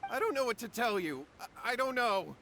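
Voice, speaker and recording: A man's voice: very high voice, expressive, moderate pace, pushed and bright, a little hiss or hum, heavily acted. A man playing a nervous, cowardly young townsman in a video game, flustered and pleading as he talks. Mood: evasive.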